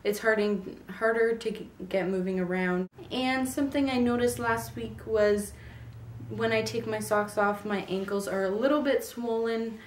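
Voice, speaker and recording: A woman's voice: fairly high pitch, fairly even intonation, normal speed, a little hiss or hum. Sore-throated young woman, echoey room. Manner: chatty, with frequent ums. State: raw, sore throat from a cold. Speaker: vlogger. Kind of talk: casual pregnancy update vlog. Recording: echoey room, mic at a distance.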